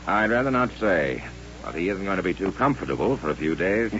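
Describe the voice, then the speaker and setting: A muffled vintage radio recording with faint noise holds a frontier doctor. His voice is very low and slow, dry and protective, in the manner of a western radio play. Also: big pitch swings, a bit bright. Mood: dry, wry. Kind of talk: old-time western radio drama acting. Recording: dull, faintly noisy old radio recording.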